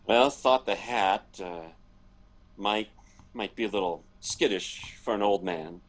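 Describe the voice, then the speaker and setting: A man's voice: fairly low pitch, slow. A man acting a nervous, hesitant middle-aged toy salesman in a 1940s-style radio drama. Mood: nervous, bashful. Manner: hesitant, stammering, flustered.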